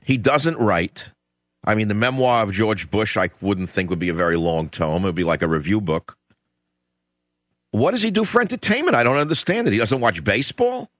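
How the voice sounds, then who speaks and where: A man's voice: fairly low pitch, animated, a little fast, a bit bright, dry recording. A male talk radio host, sardonic and emphatic, rambling through opinions and taking calls in a studio. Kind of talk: opinionated radio monologue and call-in chat. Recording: dull lo-fi radio broadcast.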